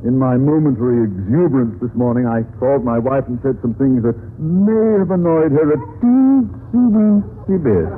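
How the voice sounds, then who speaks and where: An actor playing a pompous, blustering middle-aged school principal and henpecked husband, with a theatrical, stammering delivery in a 1950s radio comedy. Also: male voice, medium pitch, lively intonation, normal speed, few pauses, lightly acted. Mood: sheepish, pleading. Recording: tinny, noisy vintage radio recording.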